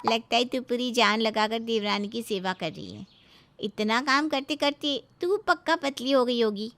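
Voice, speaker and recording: Woman acting a high, breezy, goading girlfriend. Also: moderate pace, a bit bright. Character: chatty, teasing female friend on the phone, egging on. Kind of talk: moral story dialogue.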